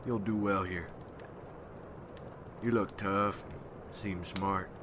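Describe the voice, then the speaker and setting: A man playing a gruff, hardened vampire hunter in an ASMR roleplay, speaking in folksy rustic slang as he mentors a newcomer. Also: deep voice, fairly even intonation, takes it slowly, background noise. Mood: friendly.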